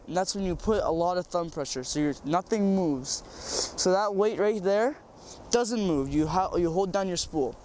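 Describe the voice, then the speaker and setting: Young male host, stuffed-up from a cold; high, loose, repetitive casual tutorial delivery. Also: a little mellow, slight background noise. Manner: rambling, repeats words, says um often. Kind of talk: casual how-to demonstration. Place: outdoors by a small farm pond.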